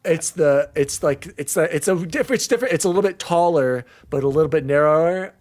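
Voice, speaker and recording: A man hosting a casual podcast, ranting in an exasperated, animated way. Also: on the high side, brisk. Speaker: podcast host.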